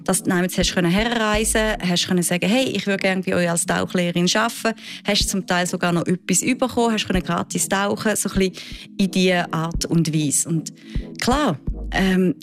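A woman's voice: mid-range voice, moderate pace, vocal fry, faint music behind. Chatty Swiss German woman. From Switzerland. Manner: lively, chatty storytelling with frequent fillers. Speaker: diving instructor. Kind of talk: interview answers.

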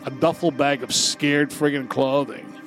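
A man's voice: medium pitch, a little slow, music in the background. A man co-hosting a podcast, telling comic stories casually in a profane, emphatic way. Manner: profane, emphatic, animated storyteller. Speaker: podcast co-host. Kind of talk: casual comic storytelling and banter.

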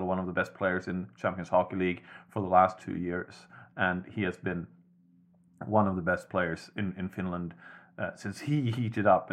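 A man hosting a casual podcast chat about hockey, talking things through at an even, measured pace. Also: low-pitched. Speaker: podcast host.

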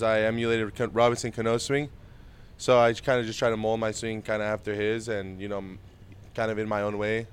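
Fast-talking flat young man. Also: lowish voice, slight background noise. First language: Spanish. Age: young adult. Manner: relaxed, easygoing answers with many fillers. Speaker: interview guest, baseball prospect. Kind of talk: casual interview answers.

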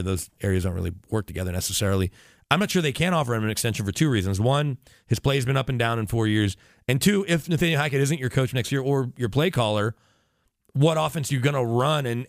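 A man's voice: medium pitch, no echo, clean studio sound. A man talking as a sports radio analyst in casual conversation, opinionated and emphatic, often digressing as he makes his points.

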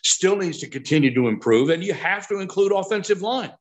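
A man's voice: high voice, expressive, brisk. A middle-aged man talking as a sports pundit on a podcast, emphatic and opinionated, often posing rhetorical questions.

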